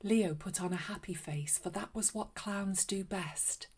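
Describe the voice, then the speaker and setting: Female storyteller for young children, gentle and expressive, mid-pitched, taking her time over each phrase. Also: unhurried, breathy edge. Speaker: children's story reader and host. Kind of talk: read-aloud storytime narration for children.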